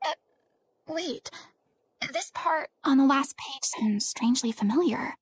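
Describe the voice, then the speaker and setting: A woman's voice: high voice, animated, a little slow, no echo, soft background music. A woman playing a poised, commanding yet compassionate young woman in an anime game, speaking in articulate, theatrical phrases. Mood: somber.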